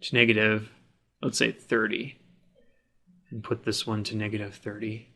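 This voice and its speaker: A man narrating a software tutorial in a plain, instructional way, explaining each step as he does it. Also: fairly low pitch, level tone, moderate pace, vocal fry. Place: voice-over of a screen recording.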